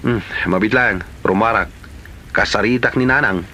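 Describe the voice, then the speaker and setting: A man's voice: on the low side, moderate pace, sharp tone, slight background noise. A man acting a stubborn, grumbling married man in an Ilocano comedy drama. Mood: puzzled, grumbling.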